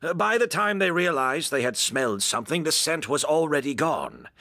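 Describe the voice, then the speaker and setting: An actor playing a gracious, apologetic host who explains things politely and considerately. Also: male voice, fairly high pitch, sing-song, moderate pace, bright, forceful tone, slight background noise, full character voice. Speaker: hotel owner and host.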